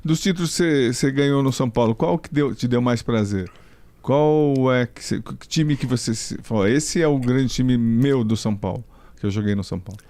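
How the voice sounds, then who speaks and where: A man's voice: mid-range voice, a little fast, a little mellow. Chatty Brazilian male interviewer. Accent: Brazilian Portuguese. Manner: chatty, long rambling questions. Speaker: interviewer, sports journalist. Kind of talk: casual interview.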